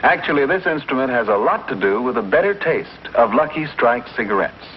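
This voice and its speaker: A man narrating a 1950s television commercial as announcer, in an emphatic, polished sales-pitch manner. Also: lively intonation, fairly quick. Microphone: voice-over for filmed commercial. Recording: dull, noisy 1950s broadcast recording.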